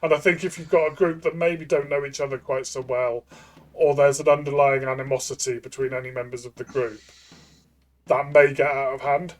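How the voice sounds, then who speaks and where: A man co-hosting a game review discussion, speaking casually in a thoughtful, halting way. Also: medium pitch, level tone. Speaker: co-host of a tabletop game review show.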